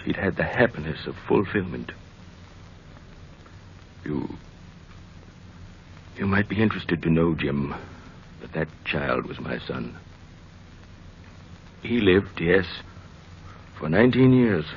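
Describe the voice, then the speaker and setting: Casting: a solemn, persuasive older doctor, very low paternal voice, vintage radio drama, faintly noisy recording. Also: male voice, expressive, full character voice. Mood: solemn, grieving. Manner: paternal, oratorical, with dramatic pauses. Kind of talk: old time radio drama acting. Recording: muffled old radio recording, faint noise.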